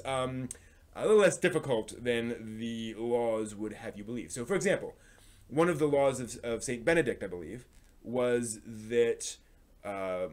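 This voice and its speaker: A man's voice: mid-range voice, a little mellow. A man hosting a live stream, talking through a review in a casual, explanatory way and often restarting phrases as he thinks aloud.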